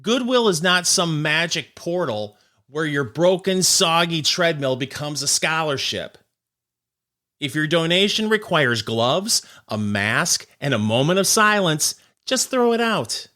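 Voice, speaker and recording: Man, comedic news host, slightly high pitch, bright forceful tone, wry sarcasm, punchy scripted monologue. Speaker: host of a short comedic news commentary show.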